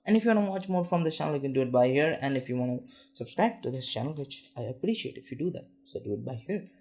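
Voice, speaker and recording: A man's voice: medium pitch, animated, quick pace, a little mellow. A young man hosting a casual tech news roundup, chatty and self-deprecating, with frequent restarts and asides. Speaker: tech news show host.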